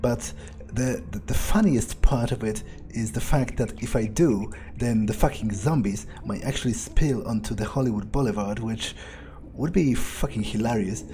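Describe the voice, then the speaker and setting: Mellow man musing through a game. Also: medium pitch, moderate pace, few pauses, slight background noise. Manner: musing aloud, sweary, hesitant phrasing. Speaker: gamer playing in character. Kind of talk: in-character game playthrough monologue. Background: game music.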